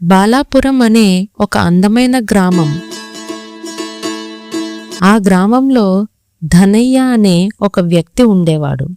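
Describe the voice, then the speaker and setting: A woman narrating a scripted moral story for children, as a storyteller. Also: medium pitch.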